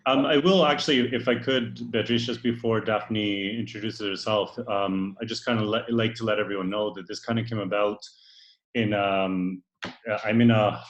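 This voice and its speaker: A man with a lowish voice co-hosts a video call, rambling and hesitating with many fillers. His voice rings in a roomy, echoey space. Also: steady tone, normal speed, muffled recording. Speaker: co-host.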